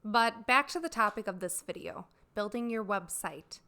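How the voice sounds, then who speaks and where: A woman hosting a solo podcast for artists, sounding raspy and dry as she gets over a cold, talking in an earnest, explanatory way. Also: mid-range voice, animated, moderate pace, pushed and bright. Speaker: podcast host.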